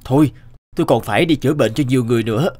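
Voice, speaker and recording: A man's voice: medium pitch, racing, darkish tone, no echo, close to an everyday voice. A man voicing a kind, principled village healer in a cartoon, speaking politely and earnestly. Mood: gentle, caring. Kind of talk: animated moral tale dubbing. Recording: music behind the dialogue.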